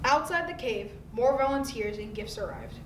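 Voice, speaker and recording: A woman's voice: expressive, moderate pace. A woman reading a scripted narrative aloud, occasionally stumbling over her words. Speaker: volunteer audiobook reader from a school community. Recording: roomy, distant, noisy with low rumble.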